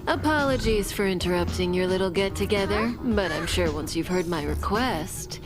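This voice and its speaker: A woman playing a suave, teasing villainous outlaw in a video game, coy and deliberate in delivery. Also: mid-range voice, animated, music in the background, natural voice. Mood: coy, amused. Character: suave, teasing female villainous outlaw, unhurried and self-assured.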